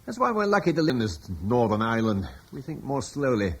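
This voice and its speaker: An actor playing a thoughtful, idealistic older father, speaking in a reflective, persuasive way with a husky voice. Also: medium pitch, sing-song, brisk. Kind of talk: radio drama acting.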